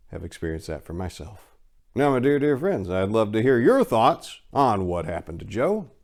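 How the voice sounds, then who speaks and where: A man narrating a story as a dramatic reading, theatrical and folksy, swinging between hushed delivery and loud emphasis. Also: low-pitched. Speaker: narrator and channel host.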